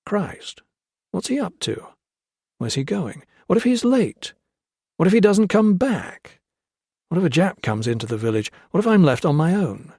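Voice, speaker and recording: Reader doing a nervous young officer, self-scolding, quick anxious questions. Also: male voice, high voice, very animated, a little mellow, breathy edge, no echo, clean studio sound, voice pushed up, full character voice. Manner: rapid worried questions.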